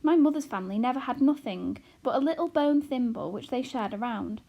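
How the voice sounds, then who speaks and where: A woman's voice: a little high, animated, brisk, vocal fry, highs cut off, pitched above the performer's own voice. A woman reading aloud and voicing an anxious, fretful tiny mother who exclaims and frets, in a storybook reading. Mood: fretting. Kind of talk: audiobook character reading.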